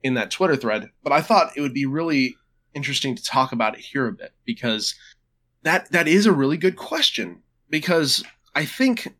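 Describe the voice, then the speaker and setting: A man hosting a casual podcast chat, stuffed up with a cold, talking in a relaxed, chatty way with frequent restarts. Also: mid-range voice. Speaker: podcast host.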